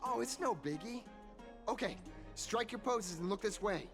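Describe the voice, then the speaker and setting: A gravelly, stammering eager explorer. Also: male voice, sits high, moderate pace. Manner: stammering, excitable. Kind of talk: video game voice acting. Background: game music.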